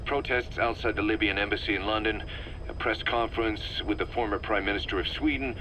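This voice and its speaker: A man playing an analytical, wary military second-in-command in a video game, explaining things in a measured, methodical way. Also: fairly low pitch, fairly even intonation, sharp tone, slight background noise, low-quality recording. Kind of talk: scripted video game cutscene dialogue.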